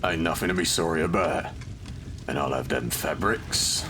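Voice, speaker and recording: A man playing a brutal, short-tempered London criminal in an audio drama, with a gravelly voice and a gruff, threatening manner. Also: very deep voice, moderate pace, noisy background. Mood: gruff, domineering.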